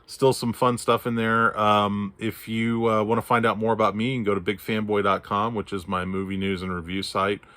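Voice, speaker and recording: A man's voice: lowish voice, level tone, normal speed, hardly any pauses, fairly bright tone, no room sound. A man from Texas chatting casually about his comic book haul, his voice scratchy from allergies, rambling with fillers and restarts. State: hoarse, scratchy throat from allergies. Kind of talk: casual show-and-tell chat.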